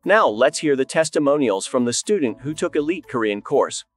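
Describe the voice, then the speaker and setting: A man's voice: medium pitch, moderate pace, soft background music. A man in his thirties, a school presenter, giving a polished scripted promotional talk to camera in a studio. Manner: polished, even delivery to camera. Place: studio, voice-over on graphics.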